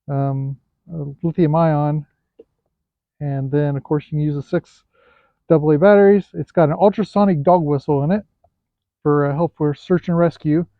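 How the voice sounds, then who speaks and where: A man giving a casual, unscripted product review in a hesitant, pausing way as he looks things over. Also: on the high side, dry recording. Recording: thin, phone-like, band-limited sound.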